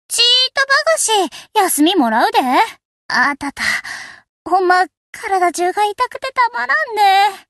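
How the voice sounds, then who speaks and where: A very high, girlish anime warship girl with a strong swooping range. She speaks with a Kansai accent, folksy and cheerful, like someone you can depend on. The delivery is easygoing and made for game voice lines. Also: bright, forceful tone, dry recording, clean studio sound, heavily acted. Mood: distressed. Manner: folksy, casual, perky. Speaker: voice actor of a game character.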